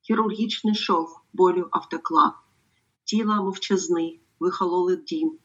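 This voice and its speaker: A woman's voice: lowish voice, a bit bright, husky. Hesitant woman translator, dull lo-fi audio. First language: Ukrainian. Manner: halting, hesitant speech with many pauses and fillers. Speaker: poetry translator.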